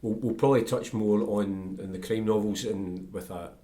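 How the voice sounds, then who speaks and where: A man's voice: lowish voice, fairly even intonation, normal speed, dark tone. A man hosting a podcast, interviewing conversationally with slightly hesitant, thoughtful phrasing.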